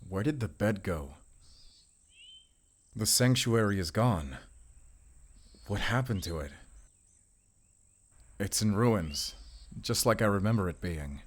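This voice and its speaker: A man as a low-voiced, weary werewolf hunter. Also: expressive, slow, long pauses. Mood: troubled, resolute. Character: weary, protective male werewolf hunter, earnest, chocolate-loving. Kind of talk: intimate cinematic audio roleplay.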